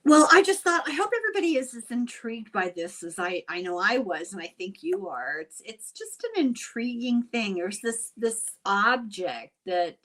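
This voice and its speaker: A woman hosts an informal conversation in a medium-pitched voice. She talks casually, as in an everyday chat with a guest. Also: a bit bright. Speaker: host.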